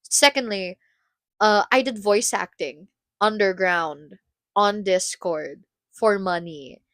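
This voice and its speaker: A woman's voice: mid-range voice, slow pace, a bit bright, no echo, studio quality. A woman from the Philippines chatting casually on a live stream, rambling and cutting herself off, laughing often.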